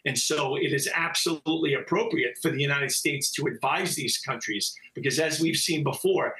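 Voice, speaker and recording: Analytical former intelligence officer, echoey room. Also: male voice, a little high, bright, forceful tone. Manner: measured, analytical, with hesitations. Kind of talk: live news interview.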